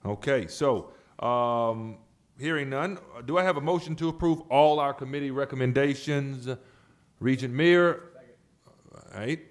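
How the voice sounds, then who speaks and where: A man presiding as chair over a public board meeting, speaking in a halting way with repeated words and restarts. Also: mid-range voice. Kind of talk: formal but informal-toned meeting remarks.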